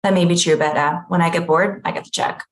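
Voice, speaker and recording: A woman reading the part of an aloof, blunt managing editor in a deadpan, conversational comedy table read. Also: fairly low pitch, fast talker, lightly acted. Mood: bored, blunt. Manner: deadpan, unimpressed.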